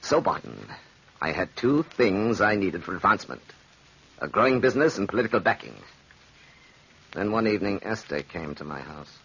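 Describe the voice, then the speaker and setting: Casting: suave scheming gambler, lowish voice with lively swings, vintage radio theatrics. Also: male voice, normal speed, husky, heavily acted. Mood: cold, narrating. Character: smooth, scheming gambler and ambitious male schemer. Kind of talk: old-time radio drama acting. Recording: dull, noisy old radio recording.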